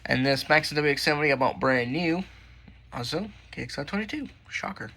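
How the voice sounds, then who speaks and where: A man with a noticeably creaky voice talks casually through a show-and-tell. He rambles, mutters asides and swears mildly. Some faint-to-clear background noise sits around him. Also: fairly high pitch, lively intonation, brisk, pushed and bright. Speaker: radio collector and host. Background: weather radios playing.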